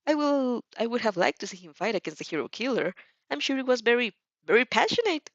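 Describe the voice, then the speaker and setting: A woman's voice: a little high, very animated, fairly quick, dry recording, highs cut off, pitched above the performer's own voice. A woman voicing a composed, lightly amused teenage girl who studies to be a hero, in an amateur audio drama.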